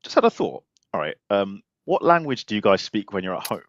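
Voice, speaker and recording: Podcast host guy, low voice, jokey, talks quick and bouncy. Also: big pitch swings, dry recording, highs cut off. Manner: chatty, jokey. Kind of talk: casual chat.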